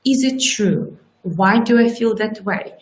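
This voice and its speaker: Russian-native coach lady in her 40s, animated livestream talk, keeps saying right?, echoey webcam sound. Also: medium pitch, takes it slowly. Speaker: life coach. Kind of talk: live-streamed motivational talk. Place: small indoor room with curtains. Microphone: webcam at desk distance. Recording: roomy, echoey webcam audio.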